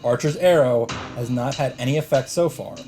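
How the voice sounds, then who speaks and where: A man reading visual novel narration aloud as a let's player, with deliberate dramatic pauses. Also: medium pitch, over music. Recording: game sound and noise behind.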